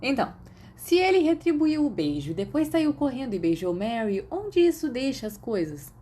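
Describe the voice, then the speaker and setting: A woman's voice: high-pitched, sing-song, normal speed, mellow, slight background noise, voice pushed up. A woman with a Brazilian Portuguese accent voicing a blunt, teasing imaginary teenage boy in an audiobook. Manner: teasing, exasperated.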